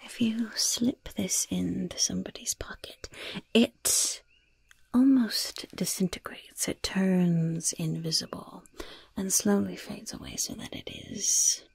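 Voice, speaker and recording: Woman as a whispering, creaky goblin poison seller. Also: mid-range voice, steady tone, a little mellow, no room sound, exaggerated voice. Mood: sly, confiding. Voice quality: breathy, raspy, creaky whisper. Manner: slow, savoring, slyly polite. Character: gleeful mischievous goblin shopkeeper selling poisons and curses. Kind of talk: whispered ASMR roleplay.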